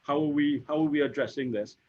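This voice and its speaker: A Trinidadian man in his fifties, an insurance executive speaking on a panel, who talks haltingly with many repeated words and fillers. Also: fairly high pitch. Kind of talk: conversational panel discussion. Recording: dull lo-fi recording.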